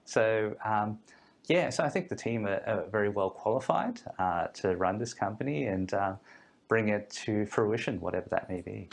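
A man's voice: fairly low pitch, expressive, moderate pace, vocal fry. A man, a guest fund manager, explains things in an interview with many ums and restarts. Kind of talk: podcast interview.

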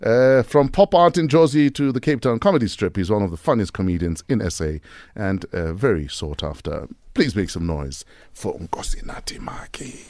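A South African man hosting a morning radio show, his voice rising and falling in lively, teasing banter. He talks into a studio mic with a dry, close sound. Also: lowish voice, normal speed, dry recording. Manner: lively, jokey, teasing interviewer. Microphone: studio microphone.

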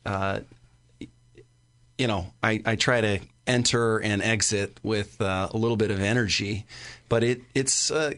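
A man's voice: on the low side, steady tone, a little slow, fairly bright tone. A man speaking casually as an actor being interviewed, hesitant and self-effacing. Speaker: stage actor, interview guest.

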